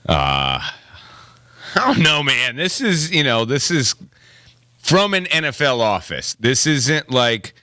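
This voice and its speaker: A man's voice: medium pitch, wildly swooping pitch, slow, pushed and bright, dry recording, clean studio sound. A man co-hosting a sports talk show, chatting casually and thinking aloud.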